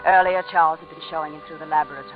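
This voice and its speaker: A woman's voice: deep voice, steady tone, racing, music in the background, close to an everyday voice. Actress as desperate woman, muffled vintage radio drama. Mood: grave, resigned. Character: desperate, bitter woman narrating her doom, composed then anguished. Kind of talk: 1940s radio drama acting.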